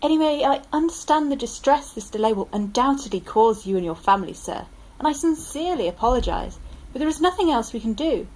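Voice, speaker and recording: A formal, procedure-minded male sergeant, acted by a woman who apologises without budging. His voice sits a little high and is plain and measured. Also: lively intonation, darkish tone, poor audio. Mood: officious. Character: polite, bureaucratic male police sergeant.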